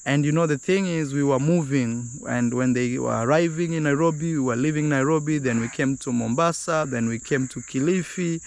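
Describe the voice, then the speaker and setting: Gravelly vlogger. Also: male voice, medium pitch, brisk, hardly any pauses, no room sound. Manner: addresses viewers as guys. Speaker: vlogger host. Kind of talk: casual travel vlog. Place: outdoors on a road near a beach.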